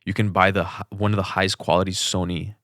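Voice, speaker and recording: A man's voice: low-pitched, level tone, fast talker, fairly bright tone, dry recording, clean studio sound. A man co-hosting a podcast in casual conversation, speaking in a blunt, opinionated way. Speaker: podcast co-host. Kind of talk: casual chat.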